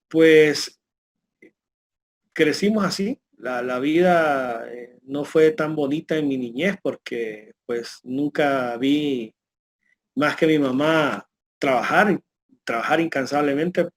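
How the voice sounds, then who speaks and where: A Salvadoran man in his late thirties gives a personal testimony. His voice sits at a middle pitch. He talks spontaneously and haltingly, repeating words and stopping partway through phrases. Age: late 30s. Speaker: guest speaker giving a testimony.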